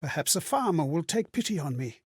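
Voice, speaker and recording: A courteous, bewildered spirit from a fantasy game. The voice sits fairly high and slightly husky in a dry recording. It speaks in a refined, contemplative, theatrical manner, like someone recounting memories. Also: male voice, sing-song, fairly quick, dry recording. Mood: fearful, desolate. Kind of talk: dramatic video game voice acting, storytelling.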